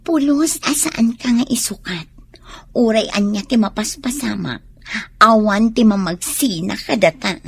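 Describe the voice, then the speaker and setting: A woman's voice: fairly high pitch, animated, unhurried, gravelly, slightly rough, heavily acted. A woman playing a young student mistress in a radio drama, clingy, tearful and pleading. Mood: tender, pleading. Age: young adult. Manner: emotional, pleading.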